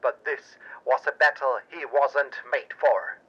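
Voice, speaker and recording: A man narrating a pulp audio drama in a theatrical, suspenseful and deliberate way. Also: medium pitch, steady tone, breathy edge, muffled recording. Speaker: narrator and storyteller.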